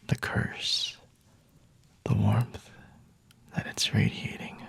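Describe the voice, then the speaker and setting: Man as a breathy, husky vampire captor. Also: lowish voice, a little mellow, no room sound, heavily acted. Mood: smug, seductive. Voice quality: breathy, husky, often whispering. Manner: unhurried, teasing, condescending, refined wording. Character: smug, flirty male vampire lord, teasing captor. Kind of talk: intimate ASMR roleplay.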